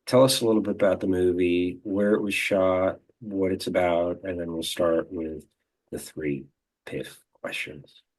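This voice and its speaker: A man's voice: low-pitched, fairly even intonation, unhurried, darkish tone, poor audio. A man hosting a film interview show, talking in an informal, encouraging way.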